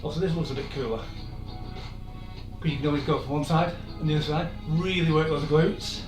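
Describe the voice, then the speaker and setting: A man, hoarse and losing his voice, leading a live-streamed workout with chatty, encouraging asides. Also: on the high side, brisk, far from the mic. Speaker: fitness instructor. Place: room in an old house. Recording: echoey room, off-mic, music behind.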